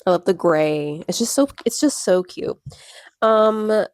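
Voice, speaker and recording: A young woman talks in a loose, rambling way, full of "like" and trailing restarts, her voice a little stuffy from a cold. She sits close to a small personal mic in a dry-sounding room, her pitch in an ordinary middle range. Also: a little slow, darkish tone, no echo. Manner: rambling, filler-heavy, lots of "like". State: stuffy nose from a cold and allergies. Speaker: book vlogger. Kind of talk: casual chatty reading vlog. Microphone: clip-on or small personal microphone.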